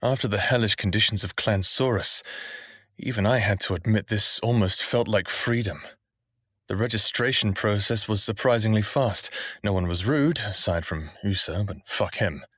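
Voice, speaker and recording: A man playing a smartass, foul-mouthed human in his thirties, narrating an audio drama in the first person with a sardonic, expressive delivery. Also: lowish voice, normal speed, breathy edge, dry recording, clean studio sound. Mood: wry narration. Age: 30s. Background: music under the voice.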